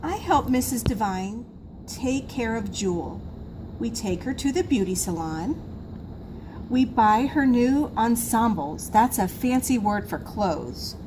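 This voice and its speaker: Female school storyteller for a picture book: lively intonation, emphatic, slow delivery, audible background noise. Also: on the high side. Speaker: school assistant reading to pupils. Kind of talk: children's picture book read-aloud. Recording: noisy room recording.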